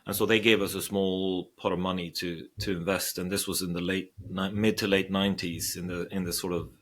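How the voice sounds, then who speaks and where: Swedish guy in his 40s, low voice, thinks out loud, lots of restarts and "you know"s. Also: flat delivery, a little fast, slight background noise. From Sweden. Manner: thoughtful, hesitant, many restarts. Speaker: investor guest. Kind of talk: interview.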